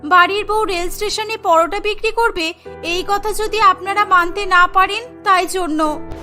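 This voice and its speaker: A woman's voice: extremely high pitch, unhurried, bright, forceful tone, faint music behind, heavily acted. A woman voicing an earnest, respectful young daughter-in-law in a cartoon story, politely explaining herself. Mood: earnest, apologetic.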